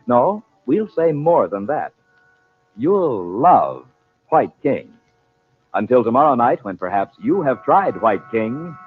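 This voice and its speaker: A man announcing and pitching for a sponsor in 1940s radio style, polished, measured and persuasive. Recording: dull vintage radio broadcast recording.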